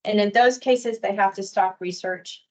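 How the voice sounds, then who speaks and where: A woman giving a measured, detailed presentation on permit rules at a workshop. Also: mid-range voice. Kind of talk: prepared presentation.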